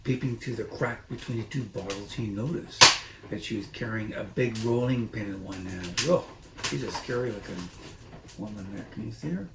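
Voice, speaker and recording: A man reading a children's book aloud expressively and haltingly, with dramatic pauses and informal asides. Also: fairly low pitch, vocal fry. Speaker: storybook reader. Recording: echoey room, noisy.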